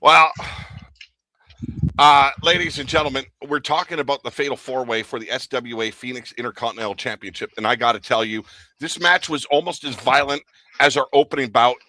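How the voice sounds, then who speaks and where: A man hosting a wrestling recap podcast, cracking wry jokes and colorful comparisons. His mid-pitched voice is bright and forceful, recorded dry and close. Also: steady tone, a little fast, no echo, low-quality recording. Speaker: podcast host.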